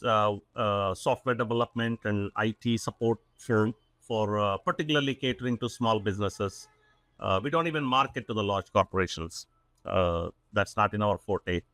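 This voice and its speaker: A man's voice: fairly low pitch, a bit bright, no room sound. A man in his forties from South India with a South Indian accent, a company CEO talking earnestly as a podcast guest, with many fillers and restarts. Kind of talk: casual interview talk.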